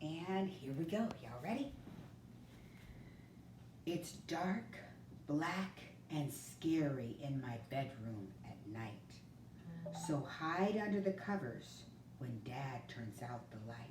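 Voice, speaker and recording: A woman reading a picture book aloud, slow and expressive, sometimes dropping to a hush, in a roomy recording from a distance. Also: low voice, background noise. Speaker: storyteller.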